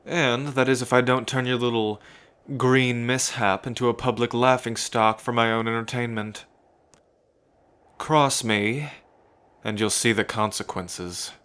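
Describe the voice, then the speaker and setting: A man's voice: mid-range voice, steady tone, unhurried, a bit bright, smooth, lightly acted. A man playing an arrogant, sadistic would-be emperor in an ASMR roleplay, with a pompous, gloating manner. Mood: menacing, smug. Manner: pompous, gloating, with frequent smug chuckles. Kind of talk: ASMR roleplay villain monologue.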